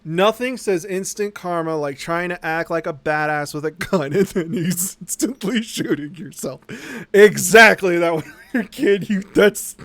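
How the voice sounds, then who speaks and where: A man hosting a livestream, rambling casually in an animated, sarcastic, sweary storytelling way. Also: sits high, unhurried, no room sound. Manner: acts out mocking quotes. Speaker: livestream host.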